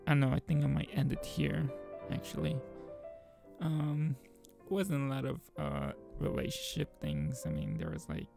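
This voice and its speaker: A man reading a visual novel aloud and commenting casually as a channel host, informal and halting, with a gravelly, husky voice. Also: medium pitch, lively intonation, music in the background.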